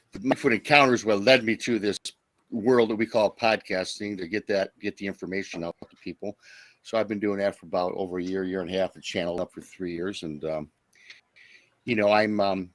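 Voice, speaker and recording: A middle-aged man from Michigan giving a conversational personal account as a guest, in a halting, rambling way. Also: lowish voice, fairly bright tone, no echo. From Michigan, United States. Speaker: podcast guest, Bigfoot eyewitness.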